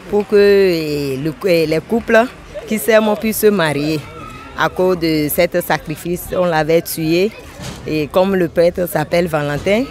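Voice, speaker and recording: A middle-aged woman giving her opinion in a casual outdoor street interview. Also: sits low. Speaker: interviewed passer-by. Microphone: small mic held by interviewer. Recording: outdoor street with busy crowd noise. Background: crowd.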